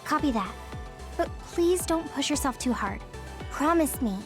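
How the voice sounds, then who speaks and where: Very high, caring young girl. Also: expressive, measured pace, over music. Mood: concerned. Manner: gentle, concerned. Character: caring, earnest teenage girl squad member. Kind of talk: anime-style video game voice acting.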